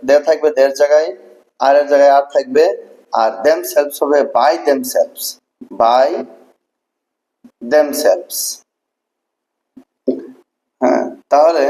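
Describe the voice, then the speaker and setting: A man with a slightly high voice gives an instructional grammar lesson. He explains things step by step, repeating key terms and spelling words out as a teacher would. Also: mellow, poor audio. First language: Bengali.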